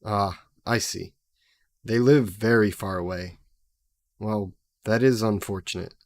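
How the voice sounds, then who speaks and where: A man's voice: fairly low pitch, takes it slowly, darkish tone, dry recording. A man playing a sassy, possessive, centuries-old demon in an audio roleplay, speaking haughtily and sardonically in formal wording. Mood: sardonic, coaxing. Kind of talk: scripted audio roleplay addressed to the listener.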